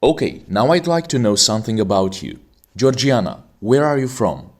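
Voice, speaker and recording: A man hosts an English exam-prep podcast and acts as examiner. His delivery is formal and teacherly, and his pitch moves a lot. His voice sits at a middle pitch for a man. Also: sing-song, moderate pace, darkish tone. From Romania.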